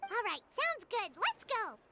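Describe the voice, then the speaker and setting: A woman voicing a tiny, cheerful childlike female video game companion with an eager, squeaky manner. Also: sing-song, unhurried, background noise, exaggerated voice. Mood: chirpy, eager.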